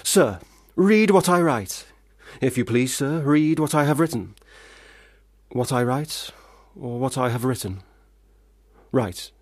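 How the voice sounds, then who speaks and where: An actor playing a troubled, self-doubting young man who drinks, theatrical, swinging between loud protest and muttering in a radio play. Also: medium pitch, lively intonation, takes it slowly, no echo, clean studio sound. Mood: rambling, anxious.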